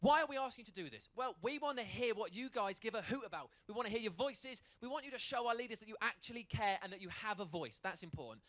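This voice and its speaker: High, bright-voiced male host. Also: lively intonation, fast, hardly any pauses. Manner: upbeat, rallying the crowd. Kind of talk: live launch show hosting. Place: indoor event space with live audience.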